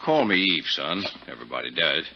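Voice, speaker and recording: An actor playing a folksy, easygoing older Western sheriff with an informal drawl in 1950s radio drama style, on an old radio recording. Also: male voice, a bass voice, big pitch swings, a little fast, gravelly, dull audio, a little hiss or hum, heavily acted. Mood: folksy, unhurried.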